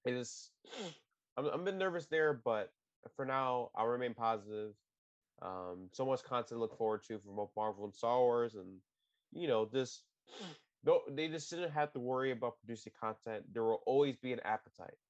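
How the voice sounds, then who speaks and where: A man's voice: medium pitch, normal speed, dry recording, highs cut off. A man co-hosting a casual podcast, opinionated and rambling, often restarting sentences. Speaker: podcast co-host.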